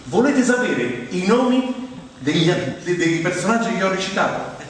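A man with a Roman accent and a slightly high voice performs a comic monologue about himself on stage. His delivery is conversational and exasperated. The recording is distant, with heavy hall echo and clear background noise. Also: very animated, moderate pace. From Rome, Italy. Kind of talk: comic autobiographical theatre monologue. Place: live stage performance in a theatre. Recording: echoey theatre hall, distant mic, noisy.

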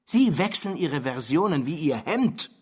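A bereaved businessman defending himself in a radio drama. His voice is husky and a little higher than most men's. He sounds formal, indignant and insistent. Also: big pitch swings, measured pace, darkish tone, exaggerated voice. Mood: defensive.

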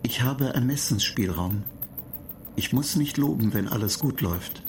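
Radio drama: male actor as a dryly superior machine intelligence, clinical, measured, middle-register voice over clear background noise. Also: medium pitch, animated, darkish tone, close to an everyday voice. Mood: coolly superior. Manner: matter-of-fact, clinical, measured. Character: calm, pedantic male computer data agent, dryly superior. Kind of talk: radio play acting. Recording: clear noise bed behind the voice.

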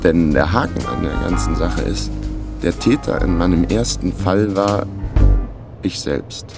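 A man's voice: low voice, unhurried, loud music behind the voice. An actor playing a wry, laconic, down-on-his-luck man of thirty in a radio crime drama. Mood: wry, brooding. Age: 30s. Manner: laconic, sardonic. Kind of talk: radio crime drama first-person narration.

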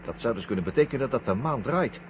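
An actor playing an excitable, anxious astronaut crewmate in a 1970 science-fiction radio drama, urgent and questioning. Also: male voice, mid-range voice, quick pace, lightly acted. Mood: concerned. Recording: muffled, noisy old radio drama recording.

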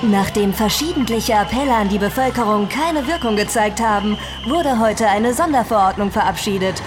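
Polished female news anchor played by an actress; middle register, measured reading over music, radio drama. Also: medium pitch, natural voice. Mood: formal. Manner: formal, measured.

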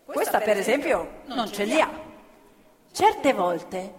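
A woman's voice: medium pitch, sing-song, takes it slowly. A woman narrating a stage play expressively, with a rhythmic, sometimes half-sung delivery. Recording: live stage recording in a reverberant theatre with violin.

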